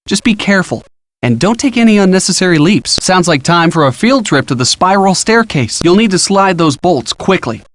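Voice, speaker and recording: An upbeat young American man coaches a detective through an adventure game. His voice sits a little high and moves in a lively way, casual and encouraging, with playful asides. The sound is close and dry. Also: no echo. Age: young adult. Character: helpful upbeat college-age boyfriend giving detective hints. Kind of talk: scripted game hint dialogue.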